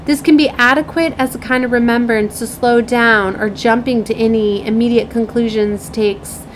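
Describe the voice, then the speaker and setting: High-pitched woman giving a spiritual reading, noisy recording. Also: fairly even intonation, moderate pace. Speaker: spiritual channeler and tarot reader. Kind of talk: channeled spiritual guidance reading. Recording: clearly noisy background.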